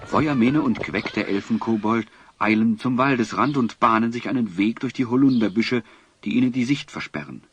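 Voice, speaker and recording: A man's voice: mid-range voice, normal speed, husky, no echo. A man narrating a scripted fantasy adventure as a dramatic storyteller for an audio play. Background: music.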